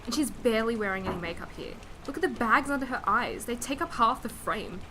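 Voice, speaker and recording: A woman's voice: a little high, animated, brisk, close to an everyday voice. A young woman playing an insecure eighteen-year-old art student, talking casually and hesitantly into a video diary in a radio drama. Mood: uneasy, critical. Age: young adult. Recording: noisy room ambience on video diary.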